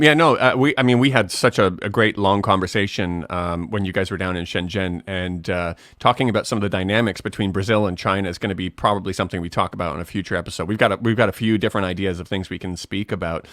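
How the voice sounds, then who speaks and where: A Canadian man with a mild accent hosts a relaxed video chat. His voice sits fairly low and sounds dry and close. He rambles loosely, full of fillers and restarts. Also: fast talker, no echo. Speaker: host.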